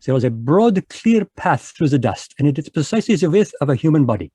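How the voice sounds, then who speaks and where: A fussy, courtly Belgian detective played by a man in a vintage radio mystery, with a put-on French accent. He lectures in a mellow, slightly high voice and drops French words between his deductions. The sound is dry and close. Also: very animated, fairly quick, slightly rough, dry recording. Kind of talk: 1940s radio acting. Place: home, live video call.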